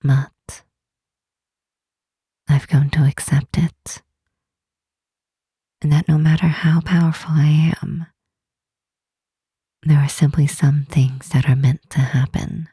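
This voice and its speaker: A woman voicing a powerful, protective demon queen in a soft, breathy half-whisper, speaking slowly and tenderly in an intimate ASMR roleplay. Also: sits low, little pitch movement, dry recording, studio quality, full character voice. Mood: solemn, confiding. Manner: slow, tender, solemn, with deliberate pauses.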